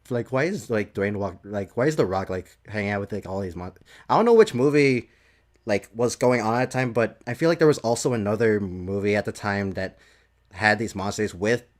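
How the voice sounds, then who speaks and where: A man hosting a casual podcast chat, speaking in a hesitant, halting way and often repeating himself. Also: lowish voice. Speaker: podcast host.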